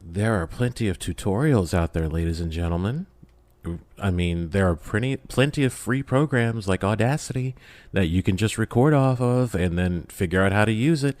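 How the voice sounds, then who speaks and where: Low-voiced man, emphatic interview guest. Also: lively intonation, normal speed, a little mellow. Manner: emphatic, poses and answers own questions. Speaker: podcast guest, audio drama creator. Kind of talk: casual, motivational interview talk.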